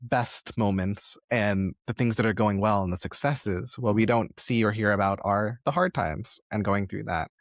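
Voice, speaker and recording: Deep-voiced male podcast host, encouraging. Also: normal speed, few pauses, no room sound, studio quality. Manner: encouraging, affirming, long reflective questions. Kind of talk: conversational interview.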